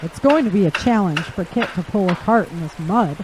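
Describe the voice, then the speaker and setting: Woman acting a practical survivor, lively intonation. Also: mid-range voice, a little fast, background noise, lightly acted. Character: practical woman survivor travelling with her cart animal. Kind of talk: audio drama acting.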